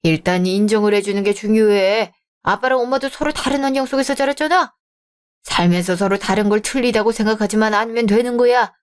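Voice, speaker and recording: A performer voicing a gentle, supportive father of the bride in a radio drama, reassuring and kind. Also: high voice, brisk, smooth, lightly acted.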